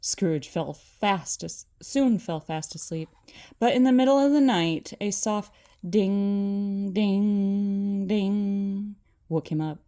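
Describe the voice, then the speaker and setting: Older woman, mellow voice, slow pace, children's storybook narration, read aloud. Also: mid-range voice.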